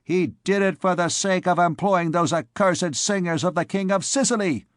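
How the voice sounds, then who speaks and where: A man voicing an indignant old woman, a fishwife who speaks in a sharp, scolding way, for a storybook. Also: sits high, normal speed, no room sound, studio quality, pitched above the performer's own voice, lightly acted.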